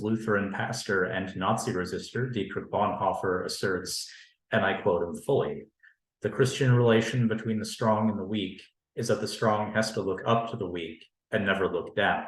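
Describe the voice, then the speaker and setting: A man from Canada with a low voice, a theologian giving a measured prepared talk on a panel. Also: echoey room, rough recording. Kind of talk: prepared lecture.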